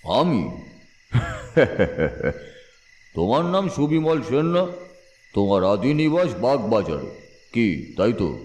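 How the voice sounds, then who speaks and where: A kindly but stern old ghost sage, voiced by a man, speaking with slow, knowing chuckles. His voice is mellow and dark, and its pitch swings widely. The sound is roomy and echoing, with faint music behind it. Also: very animated. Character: kindly ghostly old male tantric astrologer. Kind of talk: Bengali audio story acting.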